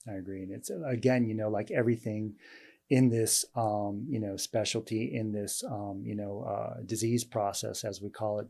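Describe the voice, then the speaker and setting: A male doctor hosting an interview, talking in a halting way with many fillers and restarts. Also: on the low side, vocal fry, a little mellow. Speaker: host, weight loss doctor. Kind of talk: casual interview chat.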